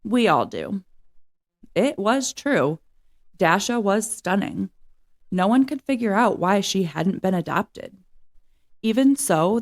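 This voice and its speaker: A woman reads a children's story aloud. Her voice sits a little low and carries a strong creak, in the manner of scripted narration read from a book. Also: a little mellow.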